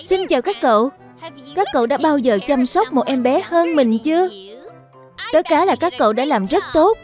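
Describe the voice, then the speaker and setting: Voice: high voice, animated, normal speed. An actor voicing a young animal cub in a dubbed children's cartoon, eager and friendly in a childlike way, with music behind.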